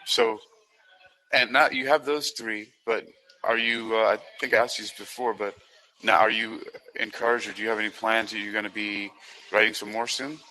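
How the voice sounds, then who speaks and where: A man with a bright, forward voice set a little low talks casually as a guest. He is encouraging and often feels for his words mid-sentence. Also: fairly even intonation, moderate pace, a little hiss or hum, poor audio. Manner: encouraging, hesitant phrasing. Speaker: podcast guest. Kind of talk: casual chat.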